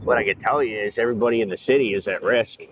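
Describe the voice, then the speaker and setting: A man with a low voice talks loosely to his livestream viewers, wandering between thoughts and padding with fillers. He is outdoors, with traffic and street noise clearly behind him. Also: racing. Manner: rambling, filler-heavy, digressive. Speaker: streamer. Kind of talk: casual livestream chat.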